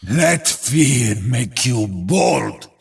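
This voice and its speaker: A man voicing a grim, resolute warrior hero in a video game, raspy and gravelly, making weighty, defiant pronouncements. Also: medium pitch, lively intonation, very slow, full character voice. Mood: grim resolve.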